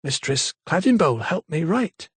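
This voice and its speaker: A man's voice: a little high, sing-song, unhurried, breathy edge, no echo, voice pushed up, exaggerated voice. A timid young servant girl, voiced by a man, speaking in a raised, lilting voice with rustic, uneducated phrasing. She sounds hesitant and deferential. The recording is close and dry. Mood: timid, earnest. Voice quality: rustic nonstandard speech. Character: timid young female maid, working-class.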